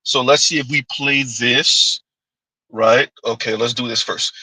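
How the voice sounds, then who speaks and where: A man's voice: mid-range voice, steady tone, measured pace, sharp tone, breathy edge, no echo, rough recording. A man hosting a livestream, giving casual commentary, reading chat aloud and laughing often as he answers viewers. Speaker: livestream host.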